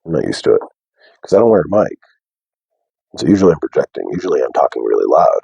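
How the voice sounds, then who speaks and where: A young man with a deep bass voice, talking softly and casually to viewers on a live stream. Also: very animated, quick pace, vocal fry, breathy edge, no room sound, dull audio. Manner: soft, unprojected speech. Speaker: livestream host. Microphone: wearing a mic.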